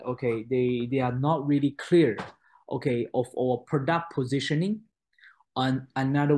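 Man, 30s, mandarin native, halting with fillers, video call from a home study. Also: medium pitch, darkish tone. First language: Mandarin Chinese. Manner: hesitant, many fillers and restarts, frequent "okay?". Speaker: product presenter. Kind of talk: online product webinar. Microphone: webcam on a video call.

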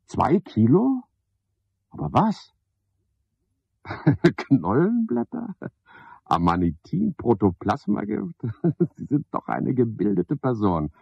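An actor playing a cynical, scheming night porter who talks to himself in a sardonic, pedantic way in a radio play monologue. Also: male voice, mid-range voice, wildly swooping pitch, takes it slowly, creaky, long pauses, a little mellow, breathy edge, dry recording, heavily acted. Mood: agitated, mocking. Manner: sardonic, pedantic, swinging from muttering to outbursts. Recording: dull, lo-fi, dry studio radio recording.

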